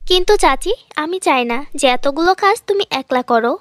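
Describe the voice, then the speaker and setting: A woman's voice: high voice, expressive, moderate pace, no echo. A woman voicing a dutiful, affectionate schoolgirl niece in a cartoon fairy tale, sweet and earnest.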